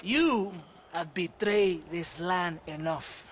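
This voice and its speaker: An actor playing a gloating, treacherous army captain with a Mexican accent, theatrical and taunting, in a video game. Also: male voice, sits high, slow pace, a little hiss or hum. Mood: gloating, menacing. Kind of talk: villainous video game acting.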